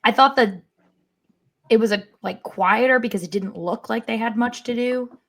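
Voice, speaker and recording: A woman's voice: fairly high pitch, moderate pace, a bit bright. A woman co-hosting a sports podcast, chatting casually in a wry way with playful exaggeration. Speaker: podcast co-host.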